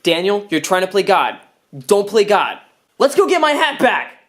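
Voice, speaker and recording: A man's voice: high-pitched, normal speed. Actor as whiny, melodramatic man in echoey room. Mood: mock-earnest scheming. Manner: exasperated, mock-earnest, bursts into shouting. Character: self-centred, whiny, melodramatic man obsessed with his hat. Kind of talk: comedy skit acting. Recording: roomy, echoing indoor sound.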